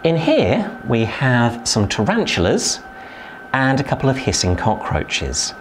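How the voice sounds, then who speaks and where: Animated zookeeper explaining reptiles. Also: male voice, a little slow, noisy background. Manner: lively, emphatic explainer with sudden pitch rises. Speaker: zookeeper giving a tour. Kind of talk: educational talk to camera. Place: indoor reptile house with lit terrariums.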